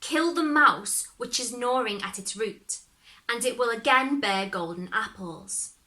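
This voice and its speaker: An earnest young fairy-tale hero, as a woman reader voices him, sure of himself and cheerful. The voice is bright and sits a touch high. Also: animated, unhurried.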